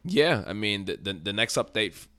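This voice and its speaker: A young adult man co-hosting a podcast, talking in an animated, joking way with many restarts. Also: on the low side, normal speed, dry recording. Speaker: podcast co-host. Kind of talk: casual chat.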